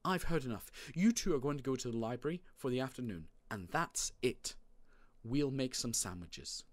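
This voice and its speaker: A man voicing an exasperated, brisk mother who scolds and dismisses, in a storybook reading. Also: mid-range voice, expressive, no echo, voice pushed up, lightly acted. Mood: firm, fed up. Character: exasperated mother, adult woman, brisk and firm.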